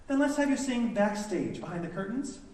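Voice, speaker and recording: A man plays a strict high school choir director, a woman who cares only about image. The voice is high and swoops around as it hands down bossy, dismissive orders on stage, in a very echoey hall. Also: animated, fairly quick, darkish tone, recorded from a distance. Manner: bossy, prescriptive.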